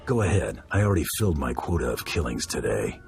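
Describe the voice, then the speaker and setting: A hard-bitten bounty hunter drawls short, dry comebacks in a game scene. The voice sits extremely low and cocky. Faint music runs underneath. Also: male voice, sing-song, quick pace, vocal fry, darkish tone, exaggerated voice. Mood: deadpan. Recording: music behind.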